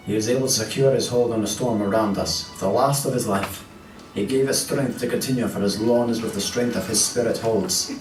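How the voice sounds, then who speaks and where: Casting: man as grave dark-legend narrator for radio play, lowish voice, roomy sound. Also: lightly acted. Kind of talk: scripted storytelling in audio drama. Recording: echoey distant playback with music.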